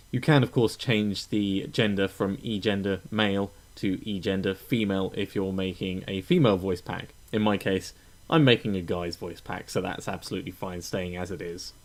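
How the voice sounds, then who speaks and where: A man narrating a step-by-step software tutorial in an informal, explanatory way. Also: lowish voice. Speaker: tutorial presenter.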